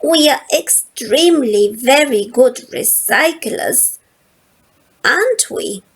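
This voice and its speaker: An eager, bossy little girl with a high, bright voice, put on by a woman reading a storybook aloud. She speaks slowly and emphatically, with a gravelly edge underneath. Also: expressive, exaggerated voice. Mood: excited.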